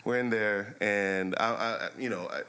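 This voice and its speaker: Man, podcast host, low pitch, bright edge, animated chatty storytelling. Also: measured pace. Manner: animated storytelling, many "you know what I mean" fillers. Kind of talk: casual interview chat.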